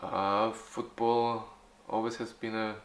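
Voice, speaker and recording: A man in his forties from Austria, answering interview questions haltingly, with many fillers and restarts. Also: on the low side, level tone, roomy sound. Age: 40s. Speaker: youth football club organizer.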